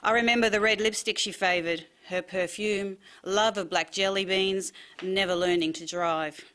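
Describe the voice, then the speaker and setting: A woman's voice: medium pitch, fairly even intonation, normal speed, pushed and bright. A woman reading short personal literary pieces as a writer. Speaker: writer reading own work.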